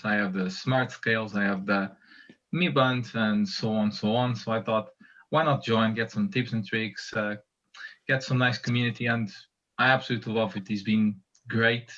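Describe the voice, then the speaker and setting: A man's voice: lowish voice, fairly bright tone, dull audio. Enthusiastic man with a Portuguese accent. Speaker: community member introducing himself. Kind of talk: casual video call chat.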